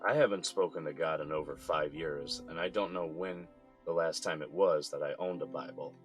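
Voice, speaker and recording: A weary jailed father, bitter and sighing, with a very deep voice, as a man plays him. He talks low and resigned over faint music. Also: steady tone, normal speed. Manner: tired, sighing, resentful. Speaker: son calling his mother from jail. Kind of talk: audio drama acting.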